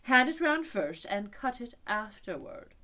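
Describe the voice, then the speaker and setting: A woman voicing an eager, boastful male unicorn in a storybook reading, with a bright, emphatic delivery. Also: a little high, very animated, measured pace, voice pushed up, heavily acted.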